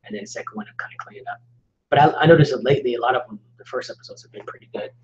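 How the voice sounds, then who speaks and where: A man co-hosting a couple's live chat, rambling and joking, his voice often trailing off into quiet asides. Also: mid-range voice, very animated, racing, highs cut off, a little hiss or hum. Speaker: co-host of a couple's channel. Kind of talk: casual live-stream chat.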